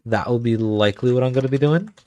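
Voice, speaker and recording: A man's voice: medium pitch, fast, warm tone, no room sound. A man livestreaming a game build, talking casually and thinking aloud as he types commands, with a put-on Irish accent. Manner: thinks aloud, muttering commands while typing. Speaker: streamer.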